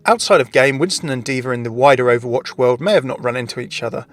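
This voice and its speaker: Fast-talking male gaming narrator. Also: mid-range voice, fairly bright tone. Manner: inquisitive, explanatory. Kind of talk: scripted lore commentary on a video game. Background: background music.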